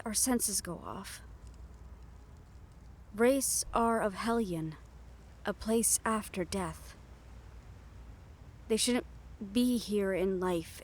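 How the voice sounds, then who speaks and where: Girl voice actor doing a gentle siren banshee woman, soft and wistful, opening up in an intimate audio rp, mid pitched. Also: slight background noise, natural voice. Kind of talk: intimate scripted roleplay audio monologue.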